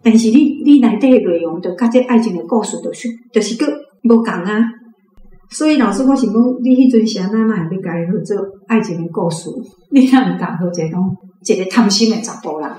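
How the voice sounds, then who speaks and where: A woman with a Taiwanese accent speaks casually as she puts questions in a discussion. Her voice is dark and mellow, at a medium pitch. The room adds clear echo. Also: expressive, a little fast. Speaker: reading club member asking the author questions. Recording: echoey room.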